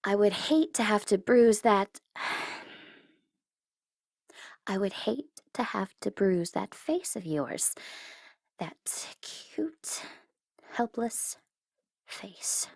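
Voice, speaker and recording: A woman performs a female supervillain in an intimate, dry-sounding ASMR roleplay. Her pitch swings widely as she taunts with condescension, then softens into flustered, whispery teasing. She takes her time, savouring her power over a captive hero. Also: expressive, extremely slow, gravelly, long pauses, no echo, heavily acted. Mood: menacing, smitten. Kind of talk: ASMR audio roleplay acting.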